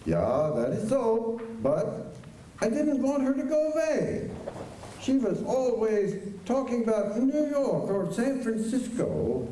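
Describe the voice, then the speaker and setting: A man playing an anxious, earnest farmer in a radio drama, halting and pleading, with old-fashioned immigrant phrasing. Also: very high-pitched, big pitch swings, warm tone, exaggerated voice. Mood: defensive. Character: anxious, earnest farmer courting his former housekeeper. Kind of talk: radio drama acting before a live audience. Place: large school auditorium stage. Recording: echoey auditorium, distant mic, faint noise.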